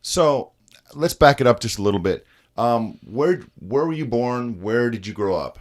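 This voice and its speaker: A man's voice: mid-range voice, expressive, moderate pace. A man co-hosting a podcast and asking questions in a casual interview style. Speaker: podcast co-host.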